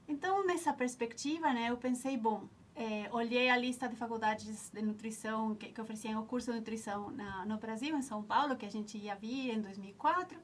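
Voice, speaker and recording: Ecuadorian woman recalling her path, unhurried. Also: on the high side, slight background noise. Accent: Ecuadorian Spanish. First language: Spanish. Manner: reflective, searching for words with frequent restarts. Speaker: nutritionist, alumna guest. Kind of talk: reminiscing interview answers.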